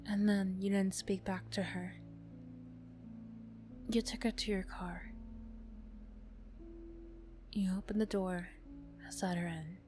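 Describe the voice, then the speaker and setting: A woman narrating a story in a soft, hushed, breathy ASMR style with measured phrasing. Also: medium pitch, level tone, faint music behind. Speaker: narrator and voice performer.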